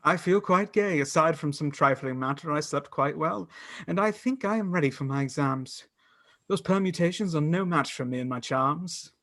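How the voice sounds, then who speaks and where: A man acting in a radio play as a bookish, excitable young student with a theatrical, formal delivery. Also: fairly high pitch, normal speed. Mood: breezy, dismissive.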